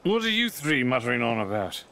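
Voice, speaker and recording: A cocky pirate captain, bright and forceful with a slurred swagger. His florid lines swing up and down in a lively, slightly high-set voice, made for a swashbuckling game. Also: a little fast, a little hiss or hum. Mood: cagey. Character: sly, swaggering adult male pirate captain. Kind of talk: video game voice acting.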